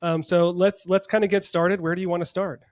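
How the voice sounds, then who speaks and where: A man's voice: sits high, little pitch movement, fairly quick, dry recording, studio quality. A man hosting a casual interview podcast in an upbeat, enthusiastic manner. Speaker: podcast host; orthodontist.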